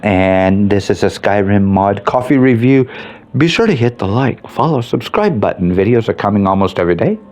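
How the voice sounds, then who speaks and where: A man's voice: lowish voice, expressive, moderate pace, darkish tone. A man hosting a casual, unscripted game mod review, informal and thinking aloud as he talks.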